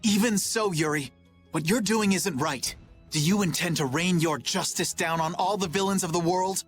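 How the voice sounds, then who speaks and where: A man's voice: high-pitched, normal speed, faint music behind, lightly acted. A man playing an earnest, righteous young knight captain in a dubbed anime game, speaking formally and solemnly. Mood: indignant.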